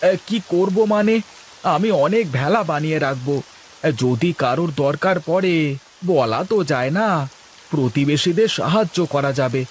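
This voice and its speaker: Cartoon village husband, acted by a man: helpful and earnest, higher male register, noisy rainy track. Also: animated, a little slow. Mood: busy, concerned. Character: kindly, practical village husband, helpful to his neighbours. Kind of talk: Bengali moral-story cartoon dialogue.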